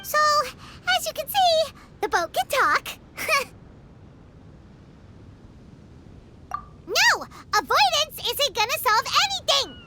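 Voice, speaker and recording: A woman voicing a small excitable fairy girl companion in a video game, with a squeaky childlike voice. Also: unhurried, full character voice. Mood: flustered, curious. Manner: refers to self in third person. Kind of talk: video game character dialogue. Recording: loud music behind.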